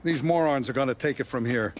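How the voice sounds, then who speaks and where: An actor playing an American mafia boss in a video game cinematic, commanding and breezy, with a brisk, patronizing manner. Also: male voice, mid-range voice, lightly acted. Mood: breezy, bossy.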